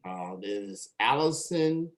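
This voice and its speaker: Male interview host, lowish pitch, casual, halting delivery, repeated words. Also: animated.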